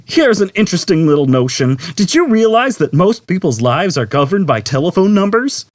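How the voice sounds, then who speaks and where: Ship's computer, played by a male narrator: raised pitch, bouncy, brash, relentlessly upbeat sales patter for a sci-fi audiobook. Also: big pitch swings, a little fast, no room sound. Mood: bright, chattering. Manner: salesman-like, chattering, over-eager. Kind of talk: audiobook character voice.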